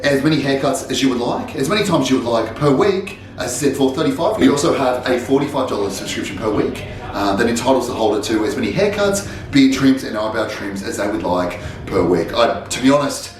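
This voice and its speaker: A man's voice: medium pitch, animated, brisk, hardly any pauses. A man with a congested voice, speaking in formal, wordy phrasing as he owns and narrates his barbershop vlog. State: congested, sick. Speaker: barbershop owner and vlogger. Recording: roomy echo with faint noise.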